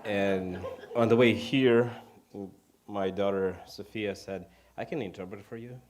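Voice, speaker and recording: A man from Ukraine with a Ukrainian accent preaches informally in English as a second language, halting and self-deprecating. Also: fairly low pitch, steady tone, darkish tone, slight background noise. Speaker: guest preacher. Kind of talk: informal sermon.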